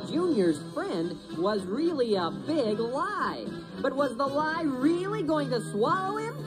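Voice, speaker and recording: A woman's voice: sits high, sing-song, slow, over loud music, low-quality recording. A woman reading a children's storybook as a dramatic narrator.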